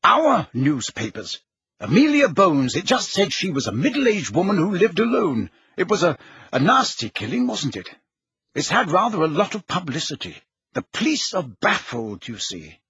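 Man playing an exasperated, husky-voiced prime minister. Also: high-pitched, wildly swooping pitch, moderate pace, a bit bright, no echo, lo-fi sound, voice pushed up, heavily acted. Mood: puzzled, irritated. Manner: incredulous, blustering, irritable. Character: indignant, exasperated male British Prime Minister. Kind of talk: audiobook character acting.

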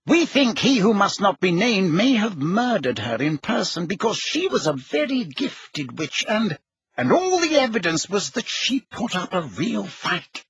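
A man's voice: high voice, very animated, a little slow, a bit bright, breathy edge, no room sound, highs cut off, pitched above the performer's own voice, exaggerated voice. A man voicing a flustered, defensive older wizard minister in an audiobook, speaking with agitated urgency. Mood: miserable, anxious. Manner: agitated, urgent, self-justifying. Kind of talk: audiobook character acting.

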